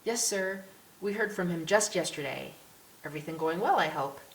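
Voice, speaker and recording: A woman's voice: lowish voice, expressive, moderate pace. A woman voicing a polite, earnest young English schoolboy in an audiobook. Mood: earnest, wistful. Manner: respectful, earnest. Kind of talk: audiobook character voice. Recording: roomy echo with clear hiss.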